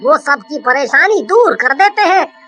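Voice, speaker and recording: A woman plays a nervous cartoon eatery man with an extremely high, bright voice. The delivery is slow and theatrical, anxious in temper. Music runs underneath. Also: very animated, heavily acted. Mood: worried. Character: frightened, pleading male roadside eatery owner and his helper. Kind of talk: cartoon story dialogue. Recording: music behind the voice.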